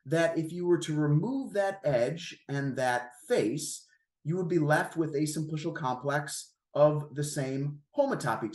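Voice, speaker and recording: Echoey male lecturer, slightly raspy from a cold. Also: a little high. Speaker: guest seminar lecturer, math researcher. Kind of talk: academic lecture to a seminar. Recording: roomy, echoey sound.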